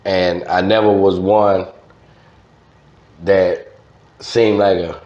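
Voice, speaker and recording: An older man with a Louisiana Southern accent, reminiscing casually as an interview guest in an unhurried, folksy way. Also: sits low, little pitch movement, darkish tone. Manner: unhurried, folksy, with frequent "man" and "you know". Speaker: interview guest, music promoter. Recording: roomy, dull lo-fi sound.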